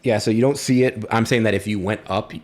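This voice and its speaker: A man acting as game master, narrating and explaining a tabletop game in a halting, conversational way. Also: lowish voice.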